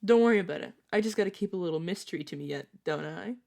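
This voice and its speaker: A woman's voice: on the low side, animated, racing, vocal fry, darkish tone. A woman playing a chatty, playful young male vampire mentor in an audio roleplay, talking casually to the listener. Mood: chatty, teasing. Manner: teasing, casual, dramatic about food. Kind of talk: audio roleplay acting, addressing the listener directly.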